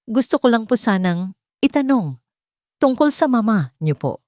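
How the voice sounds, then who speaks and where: A woman's voice: a little high, very animated, normal speed, mellow, dry recording, studio quality, voice pushed up. A woman playing a timid, anxious young housemaid in an audio drama, speaking in a trembling, deferential way. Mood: timid, nervous.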